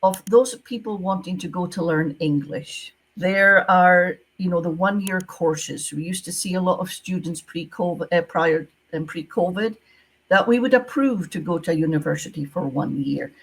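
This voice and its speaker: Scottish lady on a video call, kinda husky, low voice, repeats her advice hard. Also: moderate pace, poor audio. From Scotland. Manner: emphatic, repeats key advice. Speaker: guest, former visa officer. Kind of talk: interview over video call.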